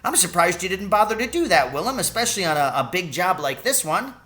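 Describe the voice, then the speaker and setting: A man's voice: high-pitched, sing-song, fast talker, sharp tone, pitched above the performer's own voice, full character voice. A man voicing a sly old country taxi driver and poacher, with rustic, colloquial, exclamatory speech in a storybook reading. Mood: crafty.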